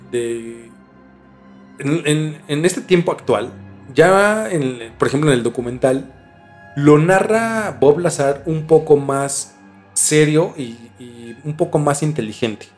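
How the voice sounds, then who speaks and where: Mexican man, fairly high voice, informal podcast storyteller, loose and stumbling phrasing, faint music underneath. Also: very animated. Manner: informal, halting, mildly profane. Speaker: podcast host. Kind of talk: casual storytelling discussion.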